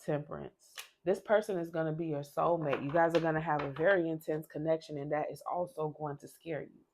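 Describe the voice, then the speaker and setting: Low-voiced woman reading tarot. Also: fairly even intonation, a little fast, darkish tone, a little hiss or hum. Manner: chatty, rambling, repeats and restarts. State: sick, losing her voice. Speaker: tarot reader. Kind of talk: casual tarot reading to camera.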